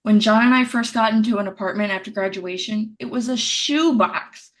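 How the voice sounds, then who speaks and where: An actress playing a chatty, well-meaning young wife in a virtual staged reading of a play. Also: female voice, medium pitch, normal speed, lightly acted. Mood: bright, eager.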